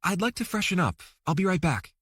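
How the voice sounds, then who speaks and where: A man's voice: sits high, sing-song, brisk, fairly bright tone, slightly rough, dry recording, clean studio sound, voice pushed up, heavily acted. A man voicing a composed, discreet, polite woman in an audiobook. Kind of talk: audiobook character dialogue.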